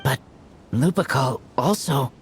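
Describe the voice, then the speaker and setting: A man playing an earnest teenage boy raised by wolves in a video game, speaking in blunt, halting, broken sentences. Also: medium pitch, background noise, close to an everyday voice. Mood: grateful, resolute.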